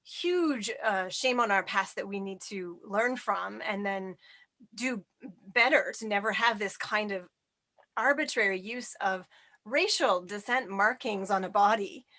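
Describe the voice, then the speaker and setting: A woman's voice: medium pitch, measured pace, bright, forceful tone. A woman, hosting a conversational interview, speaking in an earnest, emphatic way. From Canada. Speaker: host.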